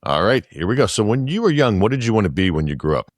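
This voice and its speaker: Very deep-voiced male podcast host. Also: sing-song, quick pace, dry recording, studio quality, faint music behind. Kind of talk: interview, lightning-round questions.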